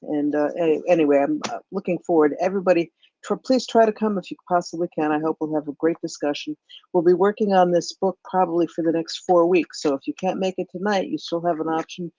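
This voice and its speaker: A woman's voice: on the low side, fast talker, warm tone, no echo, dull audio. A woman with an American accent chatting casually as a co-host on a live online audio discussion. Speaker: co-host and book club leader. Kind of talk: casual chat. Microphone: live online audio chat.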